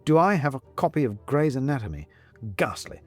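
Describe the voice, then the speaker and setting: A man's voice: mid-range voice, big pitch swings, a little fast, darkish tone, faint music behind, lightly acted. A man voicing a fussy, courteous monk in a storybook, with a wordy, exclamatory, bookish way of talking. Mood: flustered. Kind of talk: storybook character voice in audiobook.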